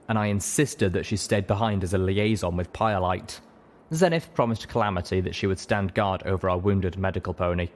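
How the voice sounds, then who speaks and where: A man's voice: sits low, a little hiss or hum. A man reading a story aloud as a dramatic first-person audiobook narrator. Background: music.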